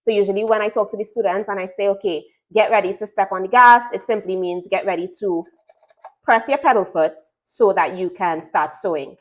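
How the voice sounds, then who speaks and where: Trinidadian woman, sewing teacher, chatty step-by-step unboxing talk, mid-pitched voice. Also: fairly quick, low-quality recording. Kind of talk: product unboxing and tutorial.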